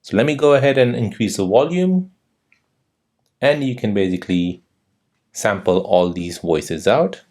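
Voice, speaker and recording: A man's voice: lowish voice, expressive, unhurried, long pauses, darkish tone. A man hosting a how-to tutorial, giving scripted step-by-step instructions. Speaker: tutorial host.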